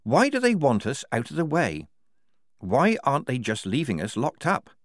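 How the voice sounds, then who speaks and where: A man voicing a level-headed, shrewd young woman soldier who talks calmly and reasons things out. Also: mid-range voice, normal speed, no room sound, studio quality, pitched above the performer's own voice. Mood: thoughtful. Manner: calm and reasoning.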